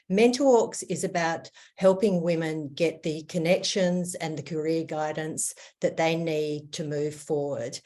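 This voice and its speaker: A woman giving a measured, formal introduction as host of an online session. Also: sits low, darkish tone. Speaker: host introducing a guest speaker.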